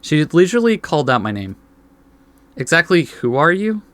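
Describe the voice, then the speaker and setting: A man's voice: fairly high pitch, sing-song, moderate pace, a bit bright, dry recording. A man reading game text aloud as a let's play host, adding laid-back asides.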